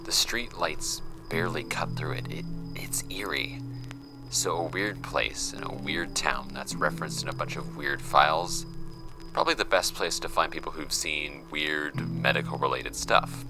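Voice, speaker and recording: Nervous amateur investigator in an audio drama, super low gravelly voice, kinda hesitant, music behind him. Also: male voice, moderate pace, low-quality recording. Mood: uneasy. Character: uneasy amateur male investigator recording his case notes. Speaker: investigator and interviewer. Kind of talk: naturalistic audio drama acting.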